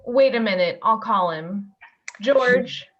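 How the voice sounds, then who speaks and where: Radio drama: female actor as a devoted young small-town girl, sweet and unhurried, a little muffled on a video call. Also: fairly high pitch. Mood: polite, coaxing. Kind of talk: amateur radio play acting.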